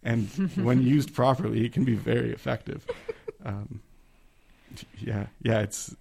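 A man's voice: medium pitch, steady tone, a little slow, creaky, darkish tone, slightly rough. A man in a casual discussion, talking hesitantly with frequent restarts and self-deprecating asides. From Italian immigrant family background. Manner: hesitant, self-correcting, laughs at himself. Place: remote call.

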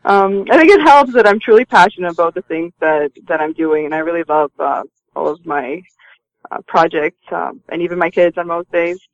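A dull, lo-fi recording carries a woman in her thirties with a gravelly creak in her voice. She speaks conversationally as a guest answering interview questions. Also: fairly low pitch, moderate pace. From Romania and Canada. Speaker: interview guest, magazine publisher. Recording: dull lo-fi line, roomy sound.

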